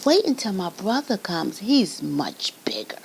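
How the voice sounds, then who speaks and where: A woman voicing the tiniest billy goat, small and timid, speaking in a high, pleading, whimpering voice. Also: sing-song, measured pace, gravelly, a little mellow, slight background noise, rough recording.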